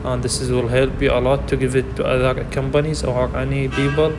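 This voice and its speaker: Native arabic speaker guy doing an app tutorial, lots of uhs, flat voice, echoey room with ac humming. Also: medium pitch, background noise. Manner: halting, with frequent fillers and simple non-native grammar. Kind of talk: screen walkthrough narration.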